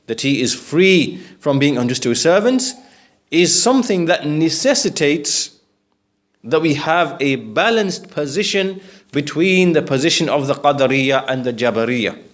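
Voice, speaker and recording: Man, fairly high-pitched voice, religious teacher, explanatory lecture style, didactic, questioning delivery. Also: expressive, moderate pace. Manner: didactic, checks understanding with questions.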